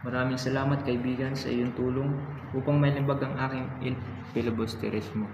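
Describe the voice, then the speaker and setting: A man's voice: mid-range voice, flat delivery, a little fast, lightly acted. A man with a Filipino accent playing an earnest young national hero, narrating his own travels in a student radio drama. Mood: earnest, polite. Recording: muffled, noisy, echoey hall recording.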